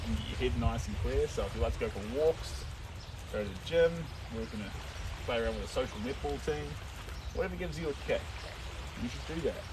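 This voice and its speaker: A man from New Zealand with a New Zealand accent, talking viewers through a painting as he streams live, relaxed and instructive. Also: mid-range voice, brisk, recorded from a distance, background noise.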